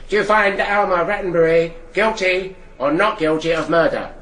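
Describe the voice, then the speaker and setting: A man's voice: sits high, normal speed, a bit bright. An actor playing a formal male court clerk in a radio drama. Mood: solemn. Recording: echoey courtroom, slightly distant.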